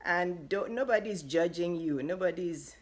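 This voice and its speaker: A woman's voice: on the low side, measured pace. A middle-aged woman with a Nigerian accent, giving casual motivational advice as a life coach, chatty and encouraging with light laughs. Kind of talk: casual motivational talk to camera.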